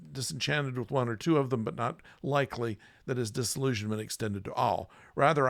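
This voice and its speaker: Host-type man, gravelly, halting casual read-through, dry close sound. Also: fairly low pitch, fairly bright tone, no echo. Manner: halting, with many fillers and restarts. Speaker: podcast host. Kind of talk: casual chat, reading passages aloud.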